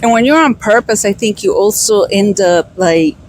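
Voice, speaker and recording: A woman's voice: medium pitch, normal speed, a little hiss or hum. A woman hosting a podcast, talking in a casual, affirming way with lots of fillers. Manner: affirming, conversational with many fillers. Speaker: podcast host. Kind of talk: casual interview chat. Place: outdoors on a beach.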